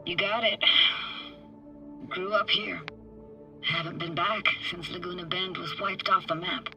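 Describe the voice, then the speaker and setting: A woman's voice: fairly low pitch, fairly even intonation, a little slow, music in the background, close to an everyday voice. An actress playing a wry, guarded young woman techie diver in a video game, with clipped, teasing, slangy phrasing. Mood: wistful, earnest. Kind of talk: video game voice acting, casual dialogue.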